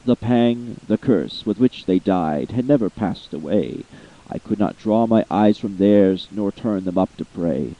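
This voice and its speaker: A man giving a slow, theatrical reading of a long narrative poem, swelling with feeling at the high points. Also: animated, lo-fi sound. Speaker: amateur voice actor reading poetry. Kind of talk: dramatic poetry reading.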